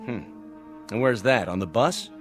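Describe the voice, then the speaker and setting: An actor playing a stern, suspicious police officer in a radio play, curt and commanding. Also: male voice, mid-range voice, normal speed, faint music behind, close to an everyday voice. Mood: stern questioning.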